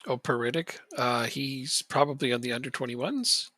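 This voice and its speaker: A man's voice: medium pitch, normal speed, sharp tone, no echo. A man chatting casually on a live stream while playing a football management game, rambling and joking and chuckling often.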